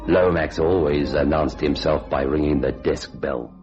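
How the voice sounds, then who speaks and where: A man's voice: rumbling low, steady tone, a little slow. A man playing a cynical, shifty hotel clerk in an old-time radio thriller, hardboiled and dismissive. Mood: brooding. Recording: dull vintage radio recording, music behind.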